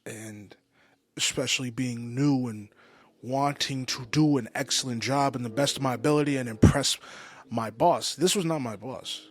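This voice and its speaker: A man in his mid twenties giving a personal podcast monologue, halting and often restating himself for emphasis. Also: mid-range voice. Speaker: podcast host. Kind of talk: personal monologue, confessional storytelling.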